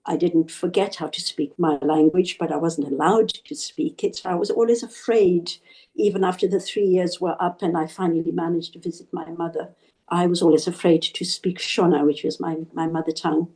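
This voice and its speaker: A woman from Zimbabwe, a radio interview guest, earnestly recalling her childhood in measured speech. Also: fairly low pitch, fairly even intonation, a little mellow. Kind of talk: interview storytelling.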